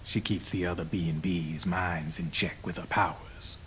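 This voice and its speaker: An actor playing a slick, cynical arms dealer in a game cutscene, explaining smugly in folksy slang. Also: male voice, low voice, fairly even intonation, moderate pace, fairly bright tone, slight background noise. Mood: smug, knowing. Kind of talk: video game cutscene acting.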